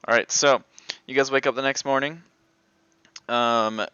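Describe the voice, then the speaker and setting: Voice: mid-range voice, steady tone, a little slow, sharp tone, smooth, dry recording, dull audio. A game master casually narrating a tabletop roleplaying game and talking with the players.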